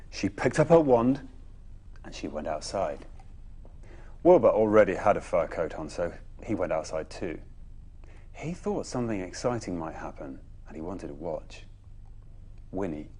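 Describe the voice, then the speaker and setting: A man reading a children's bedtime story expressively, with dramatic pauses and emphatic phrasing. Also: deep voice, a little mellow, husky.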